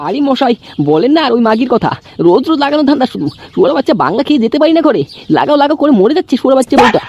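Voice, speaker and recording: A man's voice: very high-pitched, big pitch swings, racing, darkish tone, dull audio, slight background noise, heavily acted. A man voicing a grumbling, henpecked drunkard husband in an amateur comedy cartoon, complaining and foul-mouthed. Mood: exasperated.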